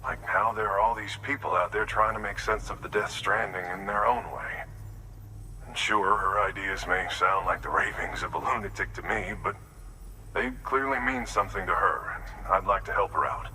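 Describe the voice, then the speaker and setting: A friendly mountain dweller who chats along in a loose, wry way. His voice is slightly low but very bright and forward, with a faint hiss behind it. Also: moderate pace, low-quality recording. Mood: easygoing. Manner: easygoing, chatty and wry. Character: friendly mountain-dwelling man making a request by radio. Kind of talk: video game cutscene dialogue.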